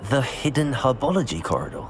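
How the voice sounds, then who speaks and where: An actor playing a composed, courteous young wizard student and adventurer in a video game, measured and formal. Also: male voice, mid-range voice, sing-song, creaky, a little hiss or hum. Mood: curious. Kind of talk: scripted video game dialogue.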